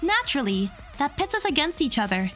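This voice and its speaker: A woman's voice: high-pitched, sing-song, fairly quick, fairly bright tone, faint music behind. A woman playing an earnest young student in an audio drama, reasoning insistently and emphatically. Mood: resolved, deducing. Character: earnest young female student defending the accused.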